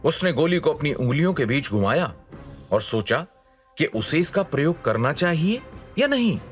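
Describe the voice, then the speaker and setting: A man's voice: on the high side, very animated, moderate pace. A man narrating a scripted fantasy audio story in a dramatic storytelling style. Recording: music behind the voice. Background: background music.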